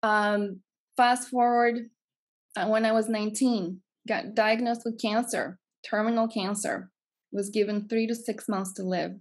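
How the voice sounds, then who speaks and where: Greek-accented woman around forty, podcast guest, earnest and reflective, mid-pitched voice. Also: steady tone, unhurried. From Greece. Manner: earnest, reflective, repeats phrases for emphasis. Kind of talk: personal storytelling in an interview.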